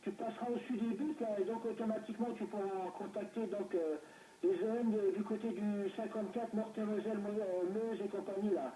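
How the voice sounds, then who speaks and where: A man's voice: sits high, fairly even intonation, a little fast, dark tone, slight background noise. A man talking casually over a radio link, hesitating and offering practical remarks. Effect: heard through a radio receiver. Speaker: amateur CB radio operator. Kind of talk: casual radio chat, giving advice. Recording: muffled, distant, echoey radio reception.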